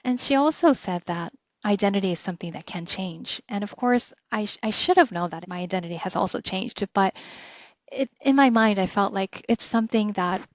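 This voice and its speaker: A woman's voice: mid-range voice, animated, a little fast, no echo. Earnest woman podcast host, dull dry sound. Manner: earnest, with frequent "um"s and soft laughs. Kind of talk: casual reflective monologue. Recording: dull, slightly lo-fi dry recording.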